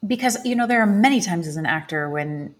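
A woman's voice: lowish voice, expressive, brisk. A woman in her thirties, a stage actress speaking as a podcast guest, thoughtful and searching, with many fillers. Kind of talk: casual podcast interview.

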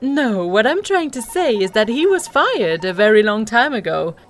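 High, swooping, polite hotel receptionist. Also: female voice, big pitch swings, moderate pace. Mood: firm, dismissive. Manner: customer-service politeness. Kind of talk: audio drama acting.

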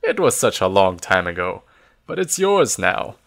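A man voicing a tiny ancient hermit from a storybook, faltering, excitable and forgetful. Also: lowish voice, vocal fry, pitched below the performer's own voice, heavily acted. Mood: wistful. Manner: excitable, halting.

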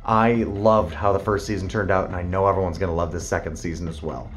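A man's voice: sits low, level tone, quick pace. A man who works as a voice actor, giving a recorded greeting to listeners.

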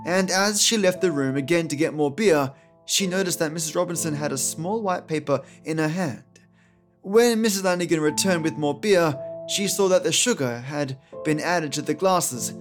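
A man hosting a podcast, giving scripted narration and commentary in a solemn, deliberate storytelling manner. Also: a little high. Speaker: podcast host. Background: soft plucked guitar music.

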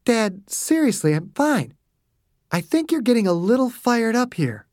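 A narrator acting a cheeky, high-pitched daughter. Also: very animated, unhurried, a little mellow, dry recording, studio quality. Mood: breezy defiance. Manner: teasing, pleading with her dad. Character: cheeky, dismissive daughter, carefree young female daredevil.

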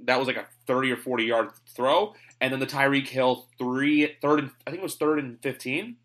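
A man hosting a sports podcast in casual chat, talking on and on with firm opinions. His voice sits at an ordinary middle pitch. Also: fairly even intonation, fairly quick, a bit bright. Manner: chatty, rambling, opinionated. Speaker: podcast host.

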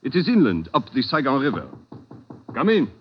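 A man playing a courteous French-accented shipping manager in a 1940s radio drama, with formal, measured phrasing. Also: mid-range voice, wildly swooping pitch. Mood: polite, grave. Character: courteous foreign shipping line manager in Saigon. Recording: muffled vintage radio recording with noise.

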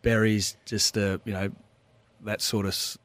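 An Australian man co-hosting a sports radio show, a bit husky from being sick, giving measured, thoughtful football analysis. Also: lowish voice, little pitch movement, a bit bright, no room sound. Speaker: radio co-host, former footballer. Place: radio studio.

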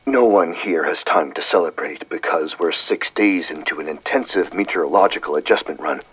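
A man's voice: deep voice, moderate pace, fairly bright tone, breathy edge, poor audio. A man voicing an earnest, wry woman scientist dictating a chatty, precise audio diary in an audiobook story. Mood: businesslike.

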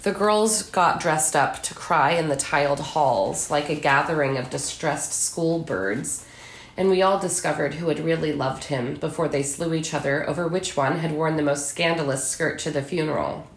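Low-voiced American woman in a roomy space. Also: moderate pace, a little hiss or hum. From southern Illinois, United States. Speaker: author, podcast guest. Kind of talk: literary reading and interview talk.

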